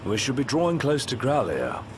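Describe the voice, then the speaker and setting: A man's voice: medium pitch, wildly swooping pitch, normal speed, noisy background. A man playing an earnest, articulate strategist in a video game, formal and precise in his wording. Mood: earnest, measured. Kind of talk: video game drama acting. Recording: music behind the voice.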